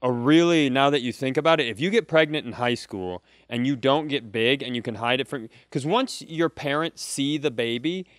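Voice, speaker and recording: Smooth-voiced man bantering on a comedy podcast. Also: medium pitch, moderate pace, few pauses, a bit bright, no echo, clean studio sound. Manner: profane, joking, rambling delivery. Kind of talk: casual comedic storytelling chat.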